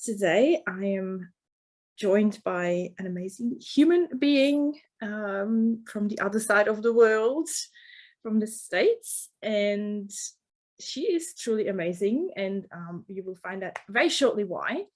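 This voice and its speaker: A woman's voice: mid-range voice, lively intonation, slow pace, darkish tone. A woman hosting a podcast, introducing her guest in an enthusiastic way with many ums. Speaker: podcast host. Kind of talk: casual interview chat.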